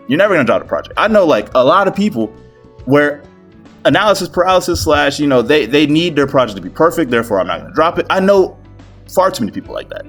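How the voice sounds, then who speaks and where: Male online creator, mid-range voice, casual and slangy, self-deprecating advice-vlog delivery, music underneath. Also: mid-range voice. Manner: slangy, bro-heavy, animated storytelling and self-deprecating. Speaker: video creator giving game development tips. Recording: music behind the voice. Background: background music.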